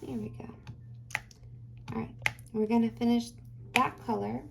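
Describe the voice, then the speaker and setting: A woman's voice: fairly high pitch, fairly even intonation, unhurried, creaky, background noise. A woman hosting a craft channel, chatting casually while she works, rambling and hesitant with many fillers, her voice tired and getting dry.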